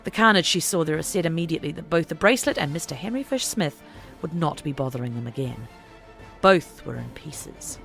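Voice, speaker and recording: A woman's voice: sits low, animated, fairly quick, a bit bright, soft background music. A woman narrating an audiobook story in a dramatic, scripted reading style.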